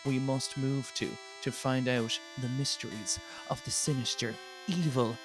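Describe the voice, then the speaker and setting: A man's voice: mid-range voice, fairly even intonation, measured pace. A man co-hosting a podcast, talking in a jokey, sweary, casual way and playfully telling the story of a game playthrough. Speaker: podcast co-host. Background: clear background music.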